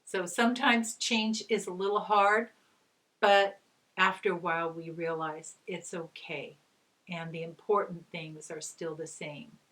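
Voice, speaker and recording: A woman's voice: on the low side, steady tone, a little slow, fairly bright tone. A middle-aged woman reading aloud to children as a storyteller and teacher, using simple phrases and coaxing questions. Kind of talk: children's read-aloud with gentle guided discussion.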